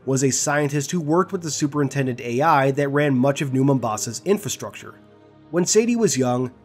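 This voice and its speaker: A man delivers scripted narration as the host of an explainer video. His voice has an average pitch, and music plays behind him. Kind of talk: scripted guide and lore narration.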